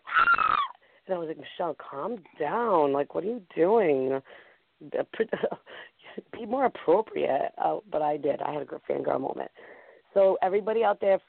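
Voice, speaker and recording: A woman hosting a live internet talk show, with a deep, raspy voice gone hoarse, rambling excitedly and talking to her chat room. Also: no echo. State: hoarse from a concert. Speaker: podcast host. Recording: tinny phone-line audio.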